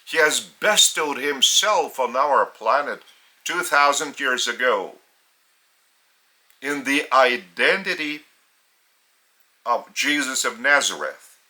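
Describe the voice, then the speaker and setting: A man with a Lithuanian accent delivers a solemn spiritual teaching at a very slow pace. His voice is bright and forceful, at a mid pitch. He speaks in measured phrases broken by long pauses. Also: animated.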